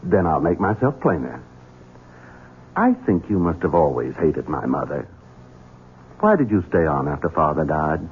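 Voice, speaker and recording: Cold, scheming deep-voiced heir. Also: male voice, big pitch swings, moderate pace, long pauses, a little hiss or hum. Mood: menacing. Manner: cold, menacing, insinuating. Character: scheming, cruel young heir. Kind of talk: 1970s radio drama acting. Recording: tinny lo-fi radio broadcast.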